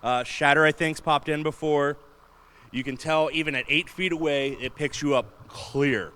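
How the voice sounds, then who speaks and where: A man with a booming voice giving an enthusiastic, chatty panel talk in a large convention room. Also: fairly even intonation, normal speed, pushed and bright, clean tone. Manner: enthusiastic, chatty, laughs often. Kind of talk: casual panel talk on streaming gear.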